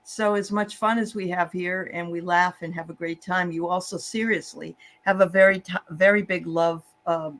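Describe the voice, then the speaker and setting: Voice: medium pitch, fairly even intonation, normal speed, hardly any pauses, a bit bright. A host of a psychic show giving readings in a casual, encouraging chat.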